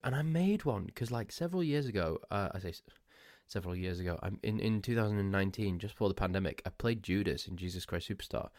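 A man's voice: on the low side, expressive, quick pace, a little mellow, no room sound, clean studio sound. A man hosting a solo podcast, stuffy and losing his voice, rambling and self-mocking with frequent laughs. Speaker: podcast host.

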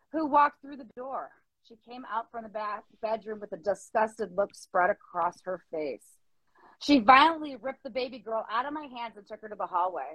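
Woman, higher-pitched, earnest and emotive open mic reader. Close dry sound, slightly dull fidelity. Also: no room sound. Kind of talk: dramatic poetry reading and casual discussion. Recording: muffled lo-fi dry audio.

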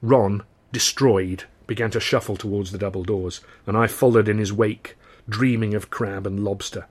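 A man's voice: lowish voice, fairly even intonation, normal speed, breathy edge. A man reading his own novel as a wry first-person audiobook narration.

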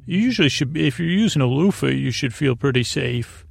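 A man with a creaky, gravelly voice, hosting a bedtime podcast in a gentle, friendly, halting ramble full of stammered repeats and tangents meant to lull listeners to sleep. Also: mid-range voice, animated, dry recording. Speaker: podcast host. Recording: close dry voice over faint music.

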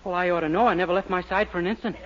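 A man's voice: sits high, fairly even intonation, rapid-fire, natural voice. An actor playing a naive, cocky young man who bumbles along earnestly in 1940s radio sitcom style. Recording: muffled, very noisy 1940s radio recording.

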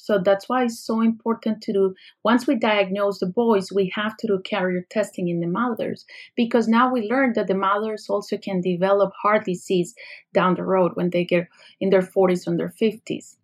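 A middle-aged woman from Colombia with a Colombian Spanish accent, a doctor explaining a medical condition in a podcast interview, patient and full of analogies. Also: mid-range voice. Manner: explanatory, uses analogies, some fillers. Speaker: pediatric neurologist guest.

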